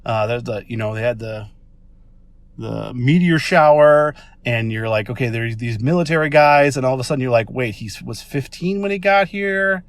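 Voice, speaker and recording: Casting: American man, mid-pitched, informal and rambling, eager fan leaving a voice message, dry close sound. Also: moderate pace, dry recording. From Minnesota, United States. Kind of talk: casual voice message.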